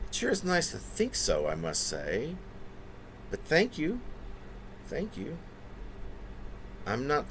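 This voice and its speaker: Low-voiced man reading poetry, lively pitch. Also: a little hiss or hum. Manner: halting, deliberate phrasing with wordy, winding sentences. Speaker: poet reading own work. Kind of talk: reflective spoken autobiographical poetry reading.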